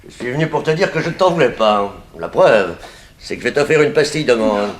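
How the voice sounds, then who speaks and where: A man's voice: medium pitch, expressive, a little fast, natural voice. A man playing a vengeful, sneering gangster in a 1960s French radio crime drama, mocking and threatening. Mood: mocking menace. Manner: mocking, threatening, mock-sweet. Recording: 1960s radio recording, light noise.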